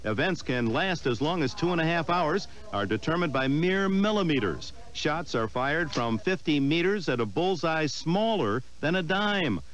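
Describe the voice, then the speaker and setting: A man's voice: fairly high pitch, lively intonation, normal speed, hardly any pauses, fairly bright tone. A man reading scripted narration for a sports newsreel.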